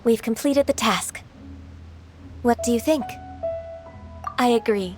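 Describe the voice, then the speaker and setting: A composed young half-immortal heroine from a video game, with a fairly high voice. She speaks politely and earnestly, in measured phrases. Music is clearly heard behind her. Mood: calm, earnest. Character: gentle, dutiful young half-adeptus woman, composed and earnest. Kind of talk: English video game voice acting.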